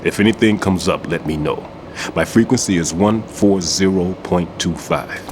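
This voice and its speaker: A man's voice: low voice, noisy background, natural voice. A man voicing a grave old bomb expert. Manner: measured, grave. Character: seasoned older male bomb expert, wary mentor. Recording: game cutscene with music.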